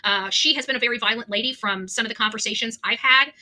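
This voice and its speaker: Blunt woman with a sharp, bright voice. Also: fairly high pitch, fast. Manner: blunt, accusatory. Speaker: host of a true-crime commentary vlog. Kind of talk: unscripted opinion commentary.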